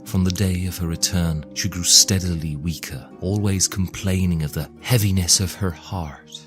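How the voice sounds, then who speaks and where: A man narrating a fairy tale in a measured, theatrical storytelling manner. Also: low-pitched, fairly even intonation. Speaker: storyteller and author reading his own tale. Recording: music under the voice. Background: music.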